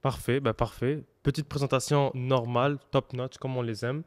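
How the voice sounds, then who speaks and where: A man with a Québécois French accent hosting a casual podcast interview, speaking informally and haltingly. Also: mid-range voice, steady tone. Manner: informal, hesitant, many fillers and restarts. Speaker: podcast host.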